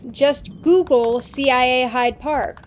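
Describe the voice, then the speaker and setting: A woman's voice: high-pitched, steady tone, takes it slowly, slight background noise. A woman playing a smug, bored prisoner genius who taunts flippantly, in a comedic spy audio drama. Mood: smug, taunting.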